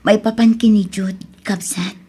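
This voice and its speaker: An actress playing a soft-hearted young woman in love in an Ilocano radio drama, with an Ilocano accent, speaking emotionally and hesitantly in a breathy voice. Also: medium pitch, fairly even intonation, a little slow. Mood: hesitant, shaken.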